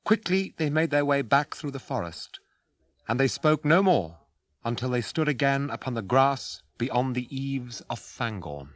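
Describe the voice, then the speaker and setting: Guy narrating old 80s radio play, scripted, mid pitch, bit far from mic. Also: expressive, measured pace.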